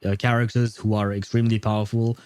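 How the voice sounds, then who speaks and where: A man livestreaming a game with a dark, mellow voice. He talks casually and bluntly with wry swearing, in a dry, close sound. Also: lowish voice, flat delivery, quick pace, clean tone, no echo. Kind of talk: casual game stream commentary.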